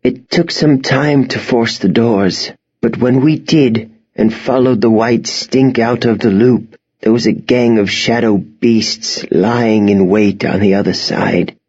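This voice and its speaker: A frail, genteel old woman from an audiobook, fearful and full of grief, played by a male narrator. The speech is slow, formal and halting. The recording is a little muffled. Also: medium pitch, steady tone, a little mellow, breathy edge. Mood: haunted. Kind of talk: audiobook character acting.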